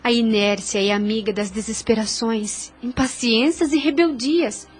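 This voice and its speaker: A woman playing a gentle, devout young woman in an audio drama, earnest and soothing. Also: on the high side, a little slow, husky, faint music behind.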